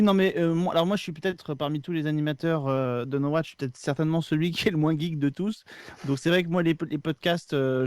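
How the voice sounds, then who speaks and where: A man's voice: medium pitch, darkish tone, no echo. A man in a casual podcast discussion, hesitant, repeating and correcting himself as he goes. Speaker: podcast host and guest panelist.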